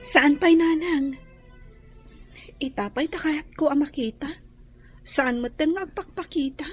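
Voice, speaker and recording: A woman's voice: high-pitched, measured pace, faint music behind. A woman with a Filipino accent playing a young student, a dutiful daughter, in an Ilocano radio drama. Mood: defensive, worried.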